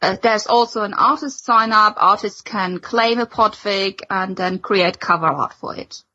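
A woman co-hosting a fan podcast, giving announcements in a measured conversational way. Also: medium pitch, bright, forceful tone, lo-fi sound. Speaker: podcast co-host.

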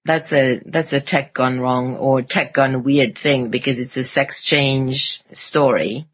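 Low-voiced, husky woman chatting enthusiastically. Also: steady tone, slow. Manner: enthusiastic, effusive. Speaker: podcast co-host. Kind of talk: casual podcast discussion.

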